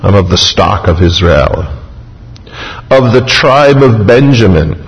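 A man preaches with a low voice, emphatic and lively as he retells scripture in the first person. His words ring out with a long reverb, as in a large sanctuary. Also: takes it slowly. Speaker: pastor. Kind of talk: sermon.

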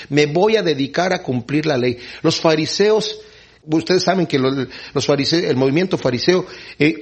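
A man preaching a sermon, explaining scripture and briefly acting out the people he quotes. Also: slightly rough. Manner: explanatory, acts out quoted speakers with pitch swings.

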